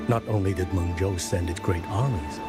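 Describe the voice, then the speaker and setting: A man acts out a grave old village chief in a fantasy game. His voice is very low, and he speaks with solemn, measured care, like an elder passing down history. Loud music plays behind him. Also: close to an everyday voice. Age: older. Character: grave old village chief, kindly keeper of a war shrine. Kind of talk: scripted game dialogue.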